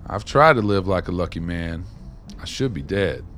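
A haunted male survivor in a radio drama, with a low voice and a somber, reflective delivery. Prominent music plays underneath him. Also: lively intonation, moderate pace, a bit bright. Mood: resigned resolve.

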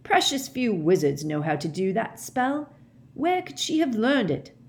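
A woman voicing a protective middle-aged male wizard father who argues loudly and angrily. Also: on the high side, sing-song, measured pace, voice pushed up. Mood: angry. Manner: loud, angry and reasoning.

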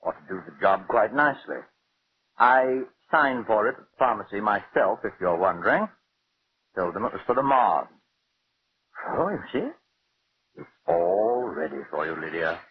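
A man's voice: on the low side, lively intonation, moderate pace, pauses often, close to an everyday voice. An actor playing a dying husband who suavely taunts his wife in theatrical, mock-affectionate tones, in a 1940s radio drama. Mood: suave taunting. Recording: muffled, hissy old radio recording.

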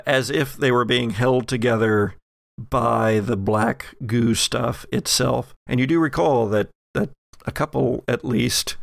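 A man acting as game master, narrating an improvised horror tale in a measured way with dramatic pauses. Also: mid-range voice, vocal fry, dry recording, clean studio sound.

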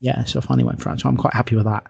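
A man co-hosting a podcast in casual hobby chat, speaking in a halting, rambling way and repeating his phrases. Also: fairly low pitch, sing-song, creaky, a little mellow, highs cut off, a little hiss or hum. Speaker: podcast co-host.

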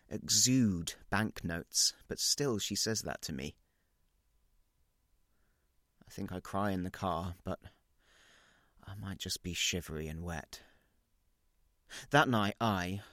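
A man's voice: low-pitched, fairly bright tone, no room sound, clean studio sound. A man reading a dramatic first-person story as a guilt-ridden, unravelling, well-off narrator, confessional and intense in short clipped phrases.

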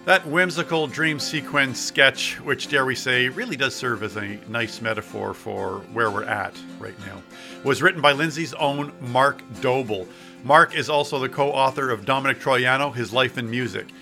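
A man's voice: mid-range voice, moderate pace, vocal fry, music in the background. A man hosting a local podcast, reading a comic sketch and a wry, self-deprecating outro. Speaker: podcast host.

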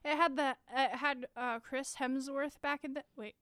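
A woman's voice: high-pitched, steady tone, normal speed, a bit bright, no room sound, clean studio sound. Playful, teasing female podcast host. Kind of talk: casual podcast chat.

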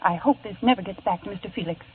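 A woman's voice: medium pitch, very fast, close to an everyday voice. An actress playing a prim, stiffly polite woman receptionist at a beauty salon, in 1950s radio drama style. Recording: tinny old radio broadcast with hiss.

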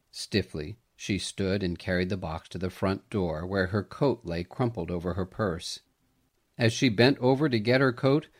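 A man narrating a scripted family story in a gentle, dramatic, sentimental manner. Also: lowish voice.

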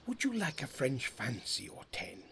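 A woman's voice: a bass voice, very animated, normal speed, fairly bright tone, a little hiss or hum, heavily acted. A woman acting a blunt, baffled, old-fashioned middle-aged British father in an audio play, blustering and incredulous. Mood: grumbling.

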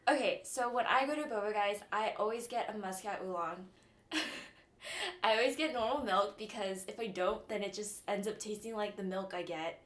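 A woman's voice: medium pitch, moderate pace. Giggly young woman with dry asides. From Southern California. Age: young adult. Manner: chatty, self-mocking, giggly asides. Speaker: podcast co-host. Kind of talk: casual college radio podcast chat.

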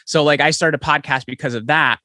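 A man being interviewed as a podcast guest, talking in quick, animated stories full of restarts. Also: fairly high pitch, no room sound, studio quality. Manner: fast, animated storytelling with many restarts. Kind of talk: conversational interview. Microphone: podcast microphone, remote call.

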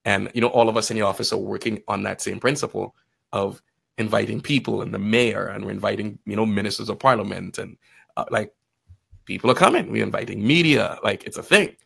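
A man telling stories casually in conversation, acting out bits of quoted dialogue in an animated manner. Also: lowish voice, a little fast, vocal fry, slightly rough. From Guyana and Trinidad. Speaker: podcast guest, financial advisor.

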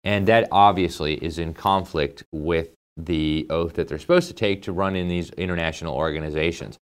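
A man's voice: low-pitched, normal speed, smooth. A man hosting a political commentary show, talking emphatically and conversationally, with fillers and asides. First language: English. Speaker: host.

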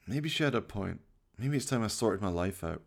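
A man's voice: fairly low pitch, lively intonation, normal speed, creaky. A man voicing a hapless slacker in a comic radio play, whiny and pleading, stammering and feeling sorry for himself. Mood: dejected, self-pitying. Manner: stammering, pleading, self-pitying.

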